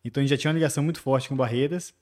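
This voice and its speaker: A man talks as a guest on a casual podcast. His voice sits in an ordinary middle range. He tells his memories in a relaxed, rambling way and often adds little tag questions. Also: medium pitch, rapid-fire. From Bahia, Brazil. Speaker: guest, construction company chief.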